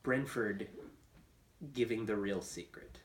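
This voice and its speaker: A man casually discussing a story, thinking aloud in halting, restarted phrases. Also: lowish voice. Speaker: writer at an audio comedy script session. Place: indoor room, writing session. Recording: echoey room with faint noise.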